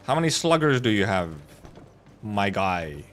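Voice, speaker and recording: Low-voiced man rambling over a game. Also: big pitch swings, measured pace, soft background music. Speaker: game streamer. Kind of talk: casual live gameplay commentary.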